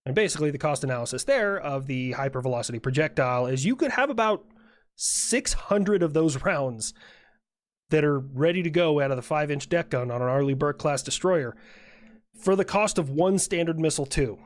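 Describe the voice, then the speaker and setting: Satirical skit explainer: middle pitch, conversational, wry, matter-of-fact delivery. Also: expressive, brisk.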